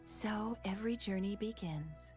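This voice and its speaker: A woman voicing a gentle, wise celestial healer in a video game, serene, nurturing and encouraging. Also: medium pitch, unhurried, over music, close to an everyday voice. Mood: serene, kind.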